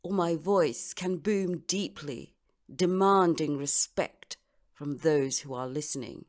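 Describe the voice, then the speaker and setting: A woman voice-over artist delivering a scripted dramatic monologue in a deliberate, theatrical manner with measured pauses. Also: sits low, darkish tone.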